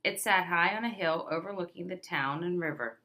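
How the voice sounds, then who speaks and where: Bright-voiced woman reading a children's book. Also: on the low side, brisk. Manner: expressive storytelling with emphatic stresses and questions to listeners. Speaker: read-aloud presenter. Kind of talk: children's picture book read aloud.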